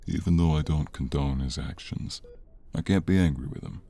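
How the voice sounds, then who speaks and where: A man playing an older, stern but forgiving father in an audio drama, with a gravelly, husky voice and a measured, grave delivery. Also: rumbling low, dark tone, full character voice. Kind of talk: audio roleplay drama acting.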